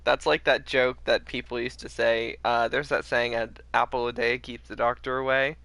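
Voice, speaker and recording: A man's voice: medium pitch, flat delivery, normal speed, gravelly, pushed and bright, no echo. A man giving casual commentary as he streams a video game.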